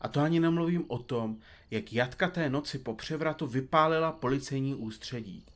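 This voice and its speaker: A man's voice: medium pitch, natural voice. A man playing a talkative, theatrical detective in an audio drama, speaking in a florid, pompous and teasing way. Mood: chatty. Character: chatty, theatrical, teasing male detective dictating to his recorder. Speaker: detective in a comedy mystery podcast.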